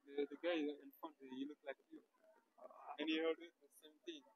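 High-voiced drive-thru worker, male. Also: steady tone, moderate pace, lo-fi sound. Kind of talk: casual customer service chat. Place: drive-thru window, heard from inside customer's car. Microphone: distant, picked up by customer's mic.

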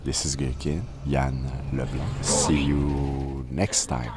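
A man's voice: very deep voice, flat delivery, slow pace, over music. A man hosting his game channel, giving a playful, self-mocking spoken review. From Canada. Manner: playful, self-mocking, with sudden exaggerated shouted bursts. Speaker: host of game walkthrough channel.

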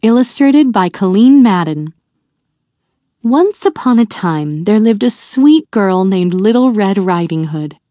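A woman narrates a fairy tale for small children. She reads slowly and expressively, with very lively pitch. The sound is dry. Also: dry recording. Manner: deliberate, expressive reading for young children. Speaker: children's storyteller.

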